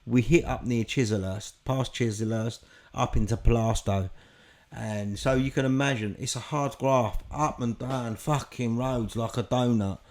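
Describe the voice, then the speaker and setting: A man's voice: medium pitch, fairly even intonation, unhurried, a little mellow. A man telling candid stories in a podcast chat, blunt and emphatic, swearing freely. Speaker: podcast guest. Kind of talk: candid conversational storytelling.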